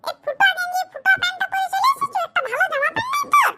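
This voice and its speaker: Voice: very high voice, very animated, very fast, pushed and bright, faint music behind, heavily acted. An actor voicing a cheeky, bickering young villager in a comedy cartoon. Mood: teasing. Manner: teasing, scolding, bickering. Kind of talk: comic cartoon dialogue in village dialect.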